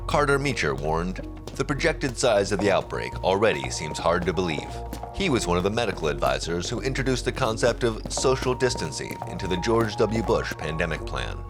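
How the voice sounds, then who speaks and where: Very deep-voiced male documentary narrator.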